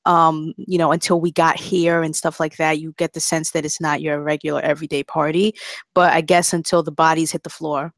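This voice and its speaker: A woman's voice: deep voice, level tone, a little fast, no echo, clean studio sound. A woman giving a casual critique as a panelist, blunt and irreverent in manner. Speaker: script writer and panelist.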